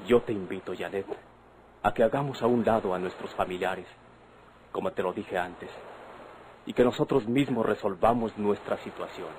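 An actor with a Mexican accent playing an earnest young husband, a bandit's son, persuading his wife in measured, formal radio-drama diction. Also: fairly low pitch, darkish tone, lightly acted. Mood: pleading, earnest. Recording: noisy vintage radio drama recording.

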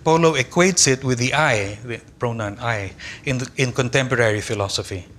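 An older man whose first language is Tagalog, reading a philosophy lecture at a conference in a measured, deliberate way from a lectern in a large lecture hall. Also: mid-range voice, a bit bright, a little hiss or hum. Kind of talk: academic conference lecture read from a text. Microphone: lectern microphone.

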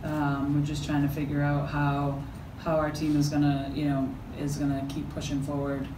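A middle-aged woman head coach answering postgame questions at a press conference, halting and full of fillers and restarts. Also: sits low, monotone. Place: press conference room with backdrop. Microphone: table mic from a few feet. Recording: echoey room, mic at a distance, noisy.

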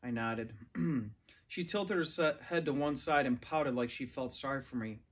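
A man's voice: medium pitch, moderate pace, a bit bright. A man around forty reading a children's novel aloud indoors, recorded on a camera a little way off. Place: large furnished living room, indoors. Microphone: camera or phone a few metres away.

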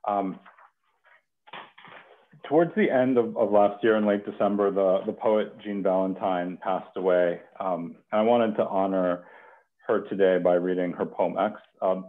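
A man's voice: low voice, a little slow. A man in his thirties hosting a poetry reading from home over a headset on a video call. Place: home kitchen. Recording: muffled phone-like video call.

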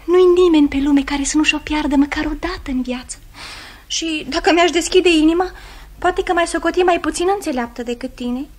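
A gentle young sister, played by a woman, speaks with tender sympathy. Her voice is high and sighing, in the manner of old radio play acting. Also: natural voice. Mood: tender, coy.

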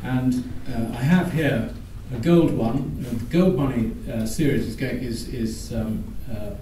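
A middle-aged man with a dark, mellow voice speaking from the floor of a conference room. He talks briskly but trips over fillers. The sound is roomy and distant, with faint noise behind. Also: medium pitch. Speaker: audience member. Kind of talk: informal spoken pitch. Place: wood-panelled hall, large indoor room. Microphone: handheld mic, far camera. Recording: echoey hall from far off, faint noise.

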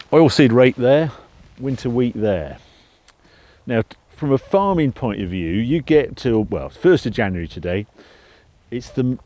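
Chatty older man, low mellow voice. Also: a little hiss or hum. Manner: chatty, musing aloud with restarts. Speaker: farmer and channel host. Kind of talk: casual vlog review and farm talk. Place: inside a car, then out in an open field.

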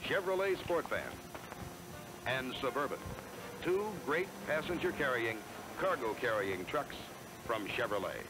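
American man, animated intonation, polished sales narration, emphatic delivery, vintage 1970s film sound, music behind. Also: medium pitch, unhurried, long pauses, low-quality recording.

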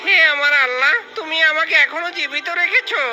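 Woman acting a high-pitched plaintive young boy. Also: brisk, sharp tone. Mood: grateful, prayerful. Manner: earnest, plaintive. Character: sorrowful, devout young boy, grateful and earnest. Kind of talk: melodramatic cartoon dubbing.

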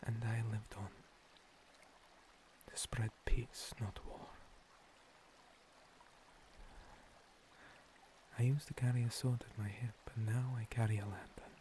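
A man playing an ancient, gentle monster priest, a scarred former paladin, in a breathy, halting, soothing ASMR roleplay whisper. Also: mid-range voice, steady tone, a little hiss or hum, lightly acted. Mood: solemn, reflective. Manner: slow, soothing, halting pauses. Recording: water streaming and pouring behind. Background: running water.

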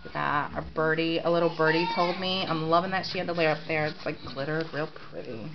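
A woman's voice: low-pitched, fairly even intonation, brisk. A congested, sniffling woman gushing over craft paper she bought, filming close-up on a handheld camera at home. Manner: gushing, repeats "so cute" and "I love it". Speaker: crafting vlogger. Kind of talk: casual product haul show-and-tell. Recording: dull lo-fi home camera audio with clear hiss.

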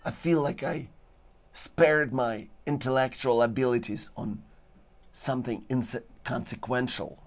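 A man hosting a talk show, declaiming in dramatic lists while his voice is going hoarse. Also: mid-range voice, steady tone, unhurried, slight background noise. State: losing his voice. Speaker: show host. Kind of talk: rambling philosophical monologue. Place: studio with green-screen backdrop.

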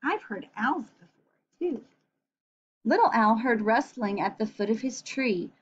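A woman reads a picture book to young listeners at a very unhurried pace, in a fairly high voice. She is gentle and expressive, breaking off for chatty asides. The webcam recording is a little dull and the voice is somewhat husky. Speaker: storyteller reading a picture book. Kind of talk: read-aloud story time with chatty asides for children. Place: small room at home. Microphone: computer webcam at arm's length.

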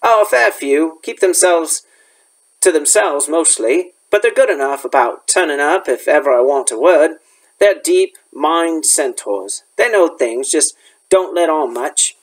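A man puts on a gruff, protective woodsman for a storybook. He speaks bluntly in folksy, rough grammar, and the pitch runs high for a man. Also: expressive, a little slow. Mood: grumbling. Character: gruff, protective, plain-spoken male forest guide. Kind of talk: storybook character voice.

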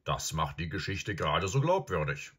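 A grave old professor acted out by a man, low and husky, calm and probing. He ponders each point with absent-minded hums, as in a storybook reading. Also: exaggerated voice. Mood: musing, earnest. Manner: deliberate, pondering, with hums and murmurs. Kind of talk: audiobook character acting.

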